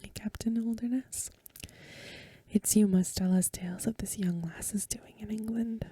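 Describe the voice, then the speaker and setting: A cheerful widow voiced by a woman reader teases in folksy, light-hearted words. She speaks in a mellow, very breathy whisper, as in a soft ASMR storybook. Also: medium pitch, fairly even intonation, unhurried, dry recording. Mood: teasing, cheerful.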